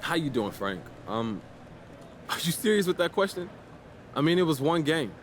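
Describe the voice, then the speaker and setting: An actor playing a confident, proud young American basketball player, talking in casual slang in a video game scene. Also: male voice, a little high, sing-song, moderate pace, a little hiss or hum. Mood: defiant, confident. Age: young adult. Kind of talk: naturalistic video game cutscene acting.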